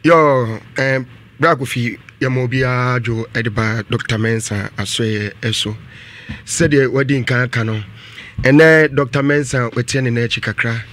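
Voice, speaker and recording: A man's voice: medium pitch, a little slow, a bit bright. A middle-aged man giving earnest, emphatic commentary on a radio panel, repeating phrases for weight. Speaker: studio panelist. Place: small indoor radio studio. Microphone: studio desk microphone.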